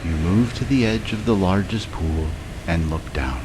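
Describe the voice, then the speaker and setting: A man narrating a scripted guided meditation in a measured way, with long pauses between short phrases. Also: deep voice, noisy background.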